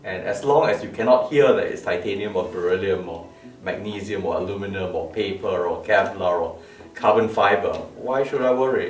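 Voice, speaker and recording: A man giving an informal product talk as a designer, explaining emphatically with repeated words and rhetorical questions, recorded in a room. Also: on the low side. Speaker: loudspeaker designer presenting his product line. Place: medium-sized living-room-like room indoors. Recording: roomy, distant mic with music behind. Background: plucked lute music.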